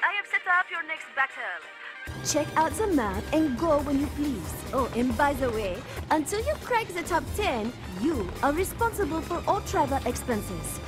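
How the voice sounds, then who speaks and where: A woman's voice: high voice, very animated, normal speed, music in the background. An actress playing a flirty, flippant female agent for an assassins' association in a video game, breezy and teasing. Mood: brisk, dismissive. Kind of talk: video game cutscene acting.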